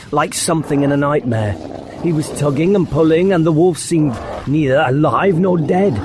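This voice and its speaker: A man's voice: mid-range voice, background noise. A man reading a children's fantasy audiobook as narrator, in a dramatic storytelling style.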